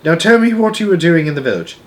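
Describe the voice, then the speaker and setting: A man's voice: a little high, big pitch swings, quick pace, voice pushed up. A man voicing a small, plucky storybook girl who is inquisitive, persistent and properly spoken. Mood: curious.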